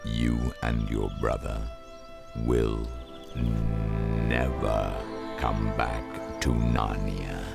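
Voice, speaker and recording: An actor playing a majestic, solemn talking lion in a radio drama, speaking slowly with grave tenderness in a gravelly voice. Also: male voice, very deep voice, little pitch movement, loud music behind the voice, exaggerated voice. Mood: solemn, tender. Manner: slow, deliberate, grave.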